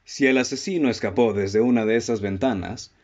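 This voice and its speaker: A Mexican man acting in a student radio drama as a self-assured, methodical amateur detective who speaks in a formal, deliberate, theatrical way. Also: mid-range voice, darkish tone, lightly acted. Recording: dull, muffled lo-fi audio.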